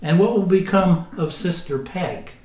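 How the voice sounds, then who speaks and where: A man serves as announcer for an evening of plays. He reads prepared introductions in a formal, measured way, setting out scene and characters. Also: high voice, a little mellow, echoey room. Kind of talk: scripted narration.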